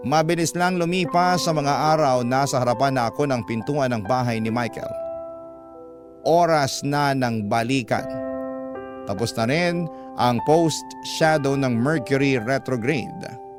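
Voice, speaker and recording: A man reading as a lovelorn young letter writer, confiding hopefully in first-person radio narration, in a measured way. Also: medium pitch, music in the background, close to an everyday voice. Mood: wistful, hopeful.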